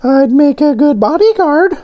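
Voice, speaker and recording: Tiny talking storybook doughnut, squeaky high falsetto, eager, childlike, swooping intonation. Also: male voice, expressive, unhurried, muffled recording. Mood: eager, upbeat. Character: Arnie, a small eager cheerful male talking doughnut.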